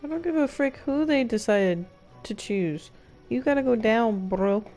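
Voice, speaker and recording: Lively young woman streamer, highish voice. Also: a little slow, soft background music. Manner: slangy, exclaiming, playfully protective. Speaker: game streamer. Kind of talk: casual playful gameplay commentary.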